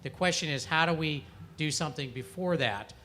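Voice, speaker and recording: A man's voice: a little high, fairly quick, pushed and bright, slight background noise. A man speaking as a panelist on an outdoor festival stage, urgent and exhorting, often restarting his phrases. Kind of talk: panel discussion.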